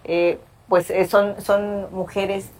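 A middle-aged woman from Guerrero, a senator chatting casually over breakfast in a hotel restaurant and telling her story with thoughtful "eh" pauses. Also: mid-range voice, level tone, darkish tone, poor audio. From Mexico, Guerrero's Costa Chica. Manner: reflective storyteller, frequent "eh" pauses. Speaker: senator, interview guest.

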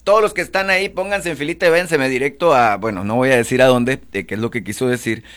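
A man's voice: medium pitch, fast, fairly bright tone, clean tone. A man with a Mexican Spanish accent, talking politics casually on a panel, with many fillers. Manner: chatty, many fillers, acts out quoted speech.

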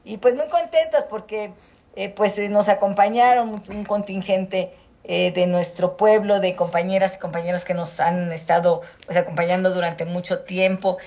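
A middle-aged woman with a Mexican accent, a senator answering interview questions in a measured, hesitant way with many fillers. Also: mid-range voice, steady tone, warm tone. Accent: Mexican Spanish. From Mexico, Guerrero Costa Chica. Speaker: senator and political candidate. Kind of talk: phone or remote interview.

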